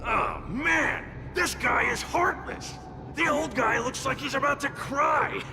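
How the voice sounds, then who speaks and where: An actor playing a mischievous, mocking onlooker in a video game, with a sardonic, theatrical, gloating delivery. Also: male voice, very high-pitched, slow pace, vocal fry, background noise, full character voice. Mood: gleeful astonishment. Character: mischievous, mocking intruder commenting inside someone's mind.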